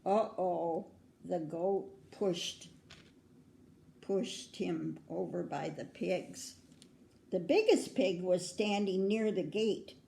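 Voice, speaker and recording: An older woman with an American accent reads a picture book aloud to children in a grandmotherly way, pausing to put questions to her young listeners. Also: on the low side, creaky, mellow, slight background noise. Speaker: children's story reader. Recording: roomy, echoing indoor sound.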